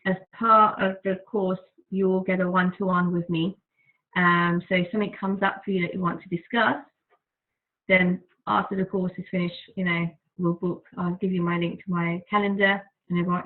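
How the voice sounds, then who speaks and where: A woman leading an online group course over a video call, talking in a gentle, soothing way. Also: lowish voice. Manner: gentle, soothing, laughs easily. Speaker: course facilitator. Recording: tinny phone-like call audio.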